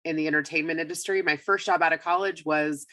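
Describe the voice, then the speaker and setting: A woman with a raspy voice from a lingering cold, talking as a podcast guest. Her voice sits low, but her tone is bright and forceful. She sounds upbeat and leans hard on her points. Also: fairly even intonation, quick pace. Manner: upbeat and emphatic. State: getting over a cold. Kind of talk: casual interview talk.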